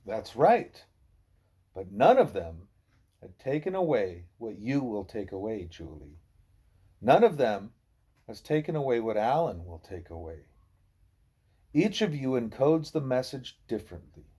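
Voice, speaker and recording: Man voicing a mellow, deliberate old-school teacher gorilla. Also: medium pitch, big pitch swings, breathy edge. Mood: solemn. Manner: deliberate, formal, lecturing.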